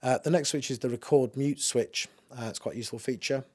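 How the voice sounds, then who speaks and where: A man's voice: medium pitch, fairly quick, very noisy. A man giving an informal, matter-of-fact spoken demonstration of audio equipment, explaining as he goes. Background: music played through a tape deck.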